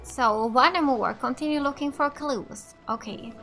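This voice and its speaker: A female gamer from Finland with as her language. Her voice is high and bright, and she muses slowly and casually through her gameplay with music behind. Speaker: gamer, let's play host. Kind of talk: casual let's play commentary.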